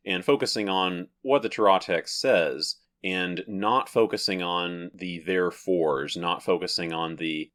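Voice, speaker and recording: A man with a very low, deep voice, talking through his ideas alone. He is deliberate and methodical, circling back to rephrase each point before moving on. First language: English. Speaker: religious video host. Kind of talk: solo talk to camera, measured explanation.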